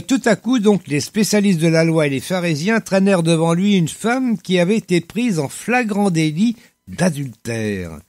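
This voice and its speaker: A man with a cold and a slightly hoarse voice, hosting a radio quiz and reading Bible passages aloud with joking asides. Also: fairly high pitch, darkish tone, dry recording, studio quality. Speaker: radio host. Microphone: studio microphone.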